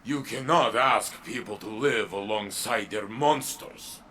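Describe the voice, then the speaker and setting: An actor playing a stern, blunt soldier in a video game, speaking in a formal way. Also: male voice, lowish voice, measured pace, sharp tone, slight background noise. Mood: grim. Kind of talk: dramatic video game cutscene acting.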